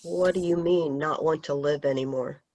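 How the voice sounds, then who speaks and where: A woman reading a teacher's part in a staged play reading over a video call, gentle and reassuring. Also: sits low, fairly even intonation, moderate pace. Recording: husky voice on dull lo-fi video call audio.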